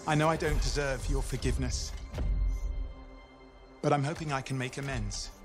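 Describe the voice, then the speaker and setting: An actor playing a flamboyant, smug young turncoat in a video game, sardonic, chatty and given to theatrical self-pity. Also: male voice, mid-range voice, steady tone, normal speed, music in the background, lightly acted. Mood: sombre, apologetic.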